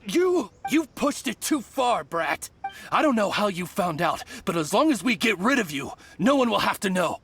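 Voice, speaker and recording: A man's voice: sits high, big pitch swings, normal speed, gravelly, a bit bright, full character voice. A man voicing a sly bandit who poses as a friendly merchant and speaks in a fake-friendly manner. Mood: threatening. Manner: fake-friendly, then snarling.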